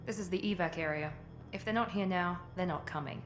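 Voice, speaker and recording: An actress voices a self-assured covert operative in a video game cutscene. She has a low female voice and speaks in a haughty, businesslike, clipped way. Music is clear behind her. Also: brisk, close to an everyday voice. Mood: cool, blunt.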